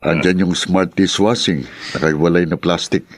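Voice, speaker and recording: Worried younger filipino buddy telling someone off, radio drama, low frank voice, dry studio sound. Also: male voice, fairly even intonation, normal speed, no echo. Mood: concerned reproach. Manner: admonishing, frank. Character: concerned, scolding younger male friend of a drunkard.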